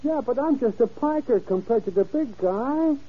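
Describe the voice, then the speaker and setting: Whiny, high-pitched American small-time crook. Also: male voice, expressive, moderate pace, slightly rough, heavily acted. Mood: whining, pleading. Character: whining small-time drug peddler, nervous and pleading. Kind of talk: old-time radio drama acting. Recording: tinny old radio recording.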